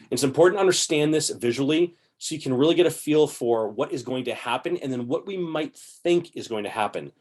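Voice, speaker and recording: A man in his forties hosting an investing channel, casually explaining to a webcam from a home room in an upbeat, chatty way, a little hoarse. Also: medium pitch. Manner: upbeat, chatty, gesturing with both hands. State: slightly hoarse, clearing throat.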